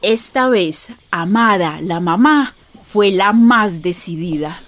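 An actress playing a neighbour and friend who narrates a radio drama in an intimate, conversational way. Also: female voice, medium pitch, lively intonation. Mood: reflective. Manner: conversational, deliberate. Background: music.

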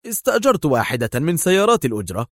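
A man playing a self-assured secret agent in a story, calm, unhurried and mocking. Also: medium pitch, no echo, studio quality, close to an everyday voice. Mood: calm amused. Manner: sardonic, unhurried, simple.

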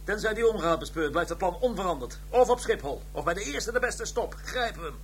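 Authoritative male detective, slightly high voice. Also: expressive, a little hiss or hum. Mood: determined. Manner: authoritative, deliberate. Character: determined, dryly sarcastic male police investigator in charge. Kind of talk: Dutch radio crime drama acting.

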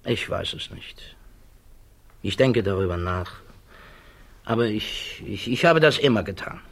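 A male actor in a 1960s German radio drama playing a troubled, thoughtful physicist who testifies in a hesitant, measured way. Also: lowish voice, lively intonation, fairly bright tone. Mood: pensive, troubled.

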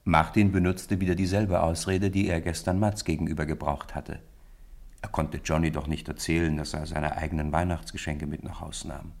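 Low raspy guy reading out a 1950s radio play, calm and measured. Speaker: narrator. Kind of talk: storybook narration in a radio play. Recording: 1950s radio studio recording.

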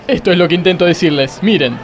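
Woeful high-voiced Argentine judge played by a man. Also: fast talker, slight background noise, natural voice. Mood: woeful, earnest. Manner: plaintive, theatrical. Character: woeful, melodramatic male judge lamenting his petty troubles. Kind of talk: comic radio play acting. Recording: radio sketch with music underneath.

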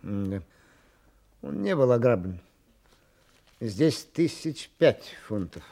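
An actor playing an officious, probing police commissioner in a radio play, with a formal interrogating manner. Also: male voice, mid-range voice, very animated, slow pace, dark tone, full character voice. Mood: official. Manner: formal, interrogating, muttering thoughtful hums.

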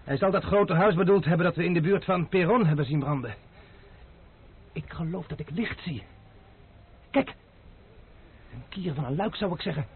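An actor playing a bold, impatient cavalier master in an old radio play, brusque and commanding, dismissive of fear. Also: male voice, on the high side, moderate pace, pauses often, slightly rough. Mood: puzzled, watchful. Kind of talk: old radio drama acting. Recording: noisy narrow-band old radio play recording.

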